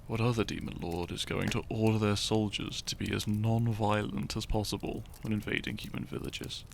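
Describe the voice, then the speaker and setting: A man playing a shy young farmer turned hero in an intimate romantic audio roleplay, tender and teasing, speaking softly and haltingly. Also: fairly low pitch, gravelly, slight background noise, full character voice. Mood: fond, teasing. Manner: soft, hesitant, halting, sighing and chuckling.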